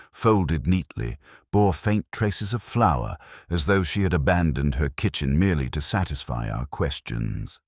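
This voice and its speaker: A man playing a melancholic, introspective middle-aged police inspector, narrating a detective drama in a measured, literary manner. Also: extremely low pitch, no echo, studio quality, exaggerated voice. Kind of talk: scripted first-person detective drama narration.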